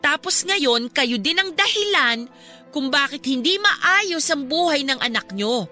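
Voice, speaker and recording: Earnest, bright-voiced Filipina friend. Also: female voice, high voice, expressive, a little slow, faint music behind, full character voice. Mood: defiant. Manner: earnest, polite yet accusing. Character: young woman, outspoken, caring loyal friend. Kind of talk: Filipino radio drama acting.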